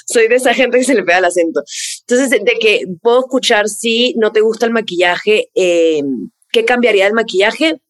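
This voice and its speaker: A young woman from Venezuela whose accent has picked up Mexican features, answering interview questions in a fast, animated way and repeating words for emphasis. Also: on the high side, no echo. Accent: Venezuelan with Mexican influence. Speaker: content creator, guest.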